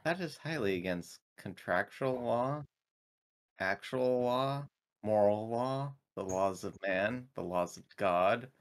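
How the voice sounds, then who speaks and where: A man's voice: on the low side, slow. A man voicing an earnest, protective female android in formal, precise phrasing for a tabletop sci-fi game. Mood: indignant.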